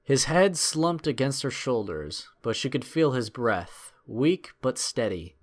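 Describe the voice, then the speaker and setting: A man narrating a scripted adventure story in a measured, expressive, dramatic storytelling style. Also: mid-range voice.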